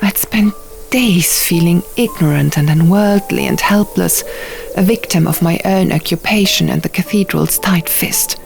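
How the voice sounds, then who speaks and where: A woman narrating a fantasy audiobook in a hushed, measured, dramatic storytelling style. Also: fairly low pitch, creaky. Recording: music behind the voice.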